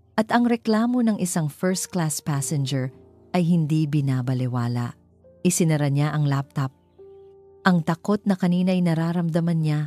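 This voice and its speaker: A woman's voice: low voice, warm tone. A woman with a Filipino accent narrating a scripted dramatic story.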